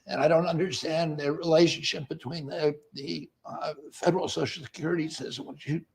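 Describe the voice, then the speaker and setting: A man with a raspy voice, speaking hesitantly in a discussion with many stops and restarts. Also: fairly high pitch, steady tone, fairly quick, a little mellow. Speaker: interview guest. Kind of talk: conversational discussion.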